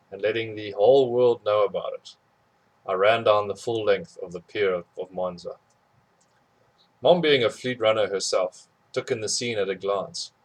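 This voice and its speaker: A man reading a memoir aloud in a plain, steady storytelling manner. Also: on the low side, low-quality recording. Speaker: audiobook reader.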